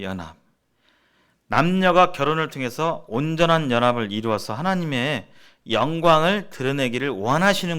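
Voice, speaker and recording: A man preaching and teaching at a church seminar in an earnest, emphatic, exhorting way, repeating key words deliberately. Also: on the high side, pushed and bright.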